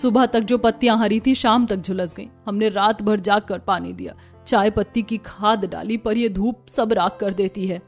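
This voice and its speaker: A woman's voice: mid-range voice, steady tone, normal speed, faint music behind, close to an everyday voice. A woman voicing a hard-pressed young village daughter-in-law in a cartoon, plaintive and respectful. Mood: distressed, plaintive.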